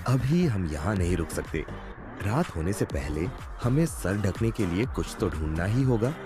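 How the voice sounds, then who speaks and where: A man voicing a poor village farmer, a husband and father, pleading and plaintive in an animated moral story. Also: sits low, normal speed, over music. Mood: worried, urging.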